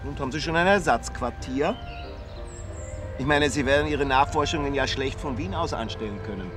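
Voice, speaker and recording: An actor playing a chatty village schoolteacher in a radio drama, with an Austrian accent and an eager, obliging manner. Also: male voice, medium pitch, moderate pace, over music, close to an everyday voice. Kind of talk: naturalistic radio drama acting.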